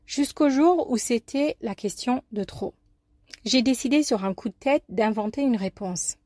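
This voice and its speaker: A woman from the United States with an American accent, giving a scripted, expressive personal talk as a life coach and columnist. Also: fairly high pitch, measured pace, no echo, clean studio sound. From United States, New York. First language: English.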